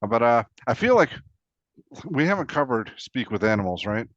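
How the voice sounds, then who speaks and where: A man's voice: mid-range voice, sing-song, moderate pace, dry recording. A man co-hosting a casual two-person discussion, recorded with a dull, dry sound. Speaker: co-host.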